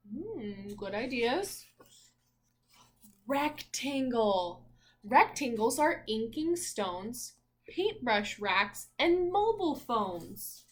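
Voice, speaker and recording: A woman's voice: medium pitch, slight background noise. A woman teacher reading a picture book aloud to young children in a sing-song way, prompting listeners with questions.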